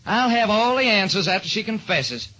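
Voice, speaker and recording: A man's voice: high-pitched, big pitch swings, normal speed, sharp tone, voice pushed up, full character voice. A man playing a cocksure, hasty homicide lieutenant with brash, snappy talk in an old radio detective drama. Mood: brash, certain. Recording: dull old-time radio recording.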